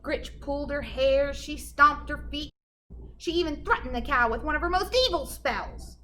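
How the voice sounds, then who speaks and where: A woman's voice: high voice, normal speed, fairly bright tone, slight background noise. A woman reading a children's picture book aloud like a teacher, explaining and putting questions to her listeners.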